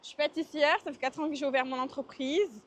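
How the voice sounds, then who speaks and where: Young Marseille woman, interviewee, hesitant, fairly high voice, outdoors. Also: fairly even intonation, quick pace. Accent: Marseille French. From France. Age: 20s. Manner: hesitant, many fillers and restarts. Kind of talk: casual interview while walking.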